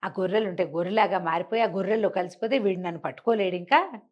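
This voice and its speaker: A woman's voice: mid-range voice, quick pace, highs cut off. A woman telling folk tales conversationally, explaining as she goes and posing rhetorical questions. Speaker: storyteller.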